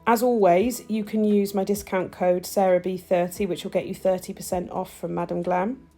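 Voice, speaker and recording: A woman with a stuffy, nasal voice, chatting warmly through a nail tutorial as she works. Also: mid-range voice, flat delivery, moderate pace, soft background music. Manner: chatty, gushing, talks while working. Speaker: nail technician demonstrating gel polish. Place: home nail studio.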